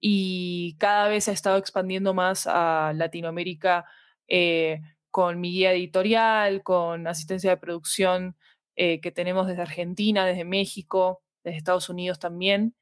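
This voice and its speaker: A woman's voice: on the low side, level tone, normal speed, dry recording, studio quality. A woman giving conversational interview answers, explaining things and checking in with short tag questions. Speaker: podcast fiction director being interviewed.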